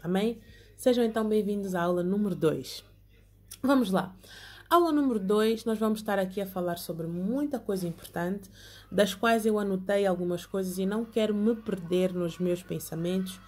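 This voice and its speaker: A woman's voice: mid-range voice, normal speed, darkish tone. A young woman giving an online Bible lesson, partly reading from notes, earnest and often asking her listeners to say amen. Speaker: Bible class teacher.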